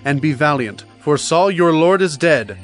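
A man's voice: on the high side, lively intonation, a little slow. A man playing a gracious, solemn king in a dramatized scripture audio drama, speaking formally. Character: gracious, solemn young king addressing his people. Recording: faint music behind the voice.

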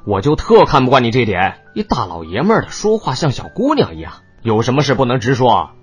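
A man's voice: mid-range voice, huge pitch swings, a little fast, husky, muffled recording, soft background music. An actor playing a cocky, mocking young man, a brash older brother in a romance audiobook, with a drawling, needling delivery. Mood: impatient mockery. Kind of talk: audiobook character dialogue.

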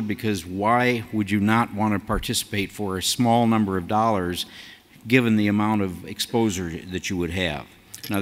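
A man in his sixties giving a prepared announcement at a convention podium in a halting, folksy way. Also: lowish voice. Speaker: task force chair addressing convention. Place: large convention hall stage. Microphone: podium gooseneck microphone. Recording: hall reverb from a PA.

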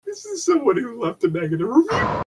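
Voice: medium pitch, wildly swooping pitch, a little fast, background noise. A person reading fan fiction aloud and joking about it in a sweary, mock-exasperated way. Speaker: reader and commentator.

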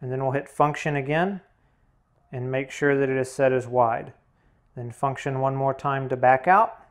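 A man's voice: mid-range voice, steady tone, normal speed. A man narrating a hands-on tutorial, explaining plainly one step at a time. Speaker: instructor, demonstrator.

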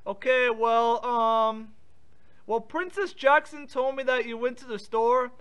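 An excitable cartoonish pigeon speaks in an extremely high male voice. He is bossy and easily exasperated, repeating himself as he improvises his way through a prank call. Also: measured pace, clear, resonant tone. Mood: chatty. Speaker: prank caller.

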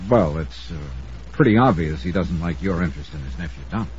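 Casting: wry American insurance sleuth, old radio serial, animated clipped delivery, muffled vintage sound. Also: male voice, sits low, a little fast, slight background noise. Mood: wry, probing. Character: brisk, wry freelance male insurance investigator. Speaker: star of a radio detective serial. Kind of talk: 1950s radio acting, first-person narration. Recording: dull old radio recording.

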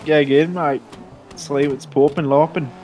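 A man's voice: on the high side, steady tone, normal speed, darkish tone, faint music behind, close to an everyday voice. A man putting on an as an eager, cheeky sidekick in improvised driving-game roleplay. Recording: faint car engine noise behind voice.

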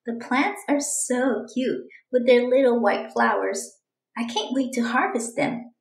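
A woman's voice: a little high, a little slow, gravelly, echoey room. A woman voicing an eager, dramatic young girl who chats and exclaims through her journal in a children's storybook. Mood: excited, proud. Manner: exclamatory, chatty, dramatic announcements. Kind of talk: children's storybook read-aloud.

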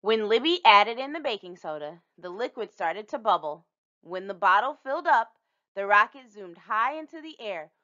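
A woman reading a children's picture book aloud in an expressive storytelling manner. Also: medium pitch, moderate pace, highs cut off. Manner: animated, storytelling delivery for young children.

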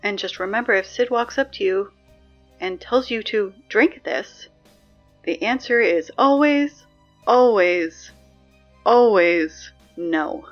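A woman's voice: mid-range voice, slow pace, soft background music, close to an everyday voice. Muffled, exasperated woman as school announcer. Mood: dry, matter-of-fact. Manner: dry, exasperated, emphatic. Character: exasperated, sarcastic high school announcer reading morning announcements. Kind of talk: scripted fiction podcast, PA announcements.

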